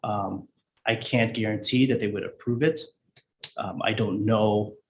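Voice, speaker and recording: A man speaking as a school district finance official at a public meeting, in a measured, formal administrative way with hesitant pauses. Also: on the low side, steady tone. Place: indoor board meeting room. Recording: echoey meeting room with background noise.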